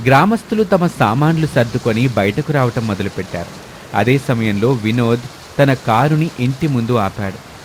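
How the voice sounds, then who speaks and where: A man's voice: mid-range voice, animated, normal speed, a little hiss or hum. A man reading a scripted story as its narrator.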